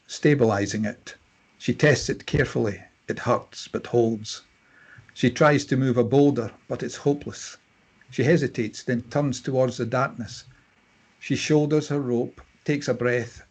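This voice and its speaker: A man with a husky voice reading scripted narration and stage directions at a table read. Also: medium pitch.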